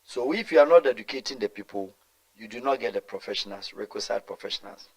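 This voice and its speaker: A man's voice: lowish voice, animated, quick pace, low-quality recording. A middle-aged Ghanaian man answering in an interview, talking emphatically and mixing in Twi, with stumbles and repeated words. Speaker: guest.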